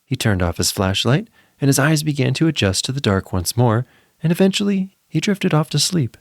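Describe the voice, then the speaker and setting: A man's voice: medium pitch, big pitch swings, brisk. A man narrating a scripted children's story in a dramatic, suspenseful manner.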